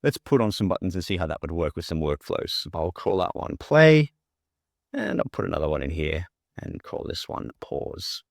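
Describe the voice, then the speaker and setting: A man with a low-pitched voice presents a step-by-step software walkthrough. He talks informally, thinking aloud as he demonstrates each step. The voice-over is close and dry, with very little room sound. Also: animated, normal speed, gravelly, no echo. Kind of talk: casual screen-share walkthrough.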